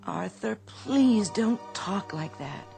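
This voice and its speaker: A woman's voice: medium pitch, expressive, takes it slowly, creaky, over music, exaggerated voice. A woman acting in a radio drama as a shopkeeper's worried, exasperated but loyal wife. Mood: pleading, tender.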